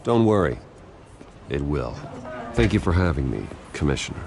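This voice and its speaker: An actor playing a brooding, composed wealthy man with a secret in a video game, calm and understated. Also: very deep voice, normal speed, vocal fry, noisy background, exaggerated voice. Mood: calm, guarded.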